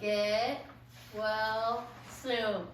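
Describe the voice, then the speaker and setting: A woman's voice: on the high side, little pitch movement, very slow. Woman as sweet young patient, eager, table read, far from mic, faint noise. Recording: echoey room, distant mic, faint noise.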